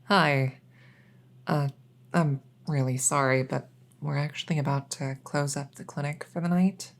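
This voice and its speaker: A woman playing a polite, hesitant, matter-of-fact night vet in an ASMR audio roleplay, with a creaky voice. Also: low-pitched, steady tone, a little slow. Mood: apologetic, polite. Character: soft-spoken, polite night vet receiving a supernatural patient.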